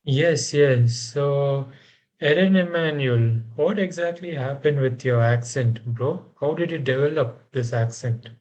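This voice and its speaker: A man's voice: medium pitch, unhurried, a little mellow. A man co-hosting a podcast, asking blunt, teasing questions casually. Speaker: podcast co-host. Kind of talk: casual chat.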